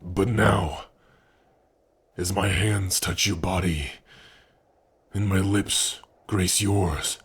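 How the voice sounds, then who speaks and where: A man voicing a big, gentle orc who is a devoted lover, speaking tenderly and earnestly in an intimate ASMR romance roleplay, with a husky voice. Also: sits low, steady tone, exaggerated voice. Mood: shaken, tender. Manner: tender, earnest, slow and breathy with sighs.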